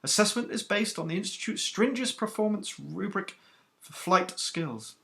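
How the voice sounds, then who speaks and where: A man's voice: high voice, expressive, normal speed, vocal fry, a bit bright, voice pushed up, heavily acted. A man voicing a stern, commanding woman starship captain with a clipped alien accent. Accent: stern alien Celestian accent. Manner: clipped and authoritative.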